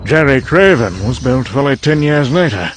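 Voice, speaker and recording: A man's voice: mid-range voice, very animated, moderate pace, background noise, natural voice. A man playing a wry, cynical scientist in a dramatic motion comic monologue, musing and condescending. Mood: detached, sardonic. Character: wry, cynical male scientist recounting a doomed secret programme.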